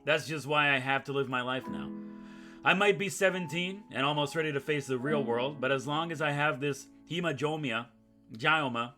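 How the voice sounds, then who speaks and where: A man's voice: on the high side, moderate pace, pushed and bright. A man doing let's play commentary, reading game text aloud and breaking in with sarcastic, self-mocking asides. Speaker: gaming YouTuber, host of a playthrough. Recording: guitar music behind the voice. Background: acoustic guitar game music.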